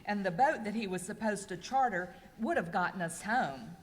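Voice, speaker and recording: A woman's voice: medium pitch, animated, moderate pace, lightly acted. A woman playing an exasperated, snippy castaway in an amateur radio comedy skit. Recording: echoey hall with faint noise.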